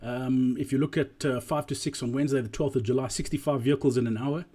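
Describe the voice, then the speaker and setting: A man giving an explanatory business presentation as a voice-over, speaking deliberately and emphatically and repeating key phrases, with a slightly husky voice. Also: mid-range voice, fairly even intonation, mellow. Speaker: presenter analysing drive-through performance.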